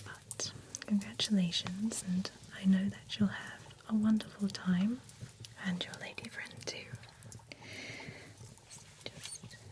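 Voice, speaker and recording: A woman's voice: medium pitch, steady tone, slow, long pauses, close to an everyday voice. Woman whispering as a gossipy vampire groomer. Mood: whispery, reassuring. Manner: soft whispering, gossipy and reassuring. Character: chatty female vampire groomer at a spa. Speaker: ASMR roleplay performer. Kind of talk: whispered ASMR roleplay.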